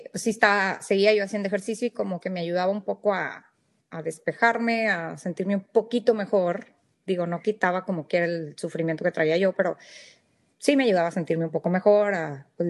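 A woman in her thirties with a northern Mexican accent speaks candidly as a podcast guest, recounting her life in an earnest, unpolished way. Her voice sits at a middle pitch, and her words pile up in restarts and fillers as she talks. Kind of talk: personal storytelling in interview.

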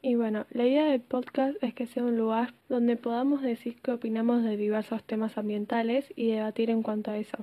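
A woman's voice: a little high, level tone, a little fast, few pauses, no echo, narrow, tinny audio. A teenage young woman with an Argentine accent, explaining her views as a podcast co-host. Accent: Argentine Spanish. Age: teens, secondary school student. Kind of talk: conversational opinion podcast.

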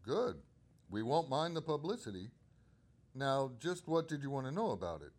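A man's voice: mid-range voice, big pitch swings, moderate pace, a little mellow. A man playing an obliging telephone company supervisor in a radio drama, explaining things courteously. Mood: affable, obliging. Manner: courteous, explanatory, crisp phrasing.

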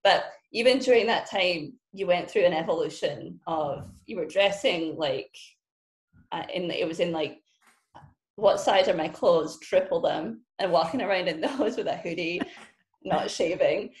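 A woman's voice: on the low side, unhurried. Teasing Scottish woman, roomy echo. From Scotland. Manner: witty, teasing, blunt. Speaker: podcast guest. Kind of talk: casual podcast chat.

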